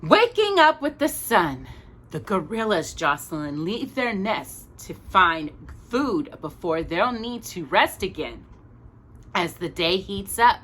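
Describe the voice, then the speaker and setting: A woman reads a children's storybook aloud in a bright, forward voice. She takes her time and speaks expressively, as if sharing each page with a young listener. Her pitch sits in a middle range for a woman. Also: takes it slowly, slight background noise. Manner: expressive, with small interjected asides to a child listener. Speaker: storytime reader. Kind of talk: children's picture book read-aloud.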